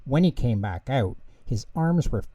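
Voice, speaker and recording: Male storyteller for children's book narration; dark, relaxed tone, mid-range pitch, distant mic. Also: unhurried, husky, muffled recording. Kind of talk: scripted bedtime story narration. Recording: echoey room, distant mic.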